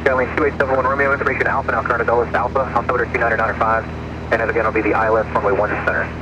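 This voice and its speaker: A man's voice: mid-range voice, flat delivery, racing. A man working as an air traffic controller gives clipped instructions over aircraft radio. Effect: aircraft radio transmission. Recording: muffled, noisy aircraft radio. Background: aircraft cabin noise.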